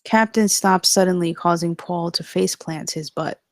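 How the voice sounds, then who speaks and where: A woman reads scripted stage directions as the narrator at a table read. Her lowish voice has plenty of vocal fry and takes its time, recorded close and dry. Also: normal speed, no echo.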